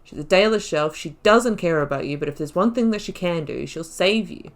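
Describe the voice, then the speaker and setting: A woman hosting a game playthrough, thinking aloud in casual, expressive commentary with emphatic repetition. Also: fairly low pitch, a little fast. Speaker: gaming video host.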